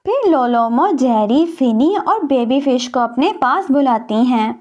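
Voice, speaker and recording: A woman's voice: high voice, lively intonation, unhurried, a little mellow, dull audio. A woman narrating a scripted cartoon story for children.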